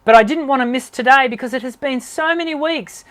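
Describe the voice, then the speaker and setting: A woman's voice: high-pitched, a little fast, a bit bright. Casting note: woman vocal coach, live-stream Q&A, thinks aloud and keeps rephrasing. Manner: meandering, thinks aloud, self-correcting.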